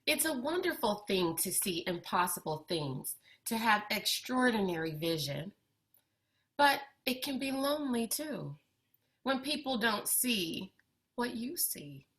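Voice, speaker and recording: Female storyteller for children's read-aloud, unhurried and bright, expressive delivery. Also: fairly high pitch. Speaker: storyteller reading a picture book aloud.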